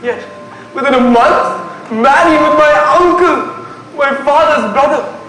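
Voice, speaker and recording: A young man playing a bitter, grieving prince in school stage Shakespeare, with brooding, drawn-out delivery. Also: very high-pitched, fairly bright tone, soft background music, exaggerated voice. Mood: bitter grief. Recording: very echoey noisy stage, distant mic.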